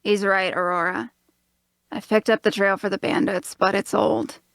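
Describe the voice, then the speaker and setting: A woman playing a flippant, impatient tracker with a brisk, sardonic manner in a fantasy drama table read. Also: medium pitch, steady tone, gravelly, fairly bright tone, no echo. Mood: sardonic impatience. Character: blunt, flippant, impatient tracker of an adventuring party.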